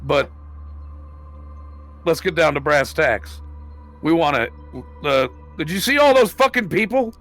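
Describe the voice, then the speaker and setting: A man's voice: fairly high pitch, expressive, unhurried, pauses often, a bit bright, soft background music. A man casually bantering as a tabletop game player, with a profane, opinionated, rambling manner.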